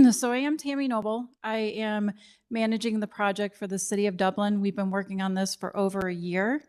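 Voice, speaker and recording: A woman project manager with a Midwestern American accent welcomes residents to a public meeting. Her voice sits low and she speaks in a welcoming, slightly apologetic way. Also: steady tone, normal speed, dark tone, smooth.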